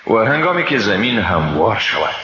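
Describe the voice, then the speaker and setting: A man with an Afghan Dari accent reads a scripture translation in a solemn, measured, formal declamatory style. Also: fairly low pitch, very animated, bright, forceful tone, a little hiss or hum. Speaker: Quran translation reader. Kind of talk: solemn scripted religious reading. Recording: echoey hall reverb, slightly dull sound.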